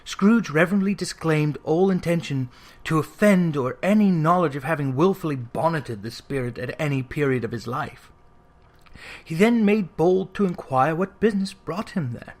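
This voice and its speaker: A man narrating a literary story from a script in a measured, expressive storytelling manner. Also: a little high. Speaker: audiobook narrator.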